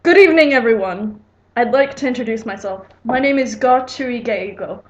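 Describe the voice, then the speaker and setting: A woman's voice: fairly high pitch, expressive, moderate pace, highs cut off, lightly acted. A woman voicing a genial, grand old male warrior general in a storybook reading, with an amateur British accent. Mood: proud, formal. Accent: amateur put-on British.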